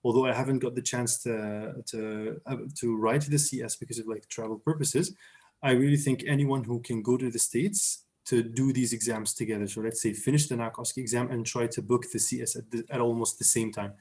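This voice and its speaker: Young man from Syria, halting speech. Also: mid-range voice, fairly even intonation, darkish tone. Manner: hesitant, many fillers and restarts. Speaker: interview guest, surgery resident. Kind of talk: casual conversational interview.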